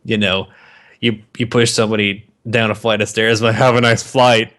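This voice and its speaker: A man's voice: on the low side, level tone, normal speed, a bit bright. A man co-hosting a podcast, bantering casually about movies with plenty of swearing and sarcastic wisecracks. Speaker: podcast co-host.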